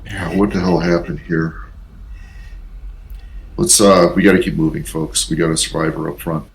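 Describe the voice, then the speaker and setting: A man voicing a gruff, urgent leader of a sci-fi rescue team in a tabletop game, with a commanding manner. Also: sits low, level tone, normal speed. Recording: faint background noise.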